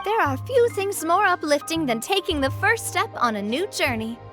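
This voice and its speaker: An actress playing a warm, confident young woman boss in an English video game dub, gracious and upbeat. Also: very high-pitched, lively intonation, moderate pace, faint music behind. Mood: breezy, friendly. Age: young adult.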